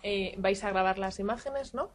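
A woman's voice: mid-range voice, normal speed, a little hiss or hum. A woman hosting a live interview, cheerful and steering the chat, with frequent short acknowledgements. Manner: cheerful, steering, says uju a lot. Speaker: interviewer host.

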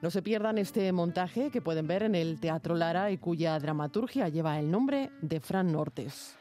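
A woman's voice: fairly low pitch, lively intonation, a little slow, dark tone, soft background music. A woman hosting a radio theatre program, interviewing guests in a chatty way with many fillers. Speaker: radio host. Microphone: studio mic.

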